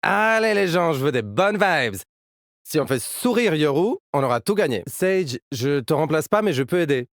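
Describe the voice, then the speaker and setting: A cocky young male game hero with a fairly high, very lively voice. He talks like a party-loving musician rallying his squad, casual, slangy and playful. The sound is close and dry. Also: moderate pace, no room sound. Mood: hyped, upbeat. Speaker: video game agent character. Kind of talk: game character voice lines.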